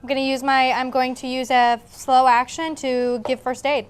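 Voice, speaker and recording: Fairly high-voiced woman tabletop player. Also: flat delivery, normal speed, slight background noise. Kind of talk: casual tabletop game chat.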